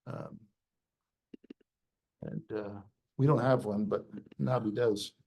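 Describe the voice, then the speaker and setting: A man hosting a live pitch session in a crowded room, chatty and rambling with frequent restarts. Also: lively intonation, slow, gravelly, darkish tone, husky. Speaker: event host.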